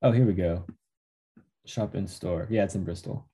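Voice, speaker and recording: Relaxed deep-voiced man. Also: moderate pace, husky. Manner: relaxed, laughs easily, joking asides. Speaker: podcast host. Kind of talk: casual chat.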